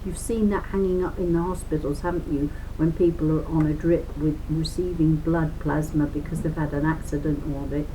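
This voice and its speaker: A woman's voice: low-pitched, fairly even intonation, normal speed, hardly any pauses, warm tone. Woman reading aloud, noisy recording. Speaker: reader of a children's book. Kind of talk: read-aloud lesson for children with chatty asides.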